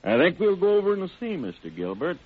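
An actor playing a steady, persistent sheriff's investigator who questions people methodically in an old radio crime drama. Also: male voice, high-pitched, fairly quick, slightly rough, heavily acted. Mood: businesslike, courteous. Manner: methodical questioning, plain-spoken. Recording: muffled, narrow-band old radio broadcast.

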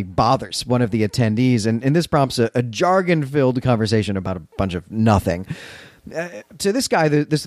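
A man's voice: medium pitch, a little mellow, dry recording, studio quality. A man co-hosting a podcast, recapping and commenting in a conversational, explanatory way with rhetorical check-ins. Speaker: podcast co-host.

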